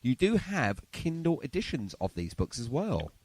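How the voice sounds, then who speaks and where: A man's voice: medium pitch, big pitch swings, normal speed, darkish tone. A British man hosting a podcast in a chatty, informal interview style. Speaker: podcast host. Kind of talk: casual interview chat.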